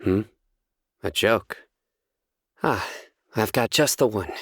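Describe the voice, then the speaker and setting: Low, solemn young enforcer of justice. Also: male voice, husky, no room sound, clean studio sound, exaggerated voice. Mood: calm, watchful, then earnest storytelling. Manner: measured, deadpan, formal. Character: solemn, stern young male enforcer of justice, dryly earnest. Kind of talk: scripted video game character voice lines.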